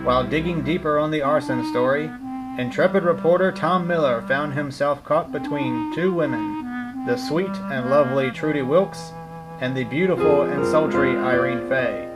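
An actor playing a dramatic 1940s radio serial announcer, reading scripted narration. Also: male voice, medium pitch, moderate pace, close to an everyday voice, poor audio. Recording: loud music under the voice.

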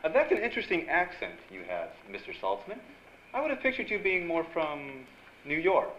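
An actor playing an eager young reporter in a period Hollywood radio drama, speaking in casual wisecracking slang. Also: male voice, on the high side, sing-song, brisk, a bit bright, slight background noise. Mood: breezy. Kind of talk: radio drama acting with narration. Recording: dull lo-fi live radio-play recording, music behind.

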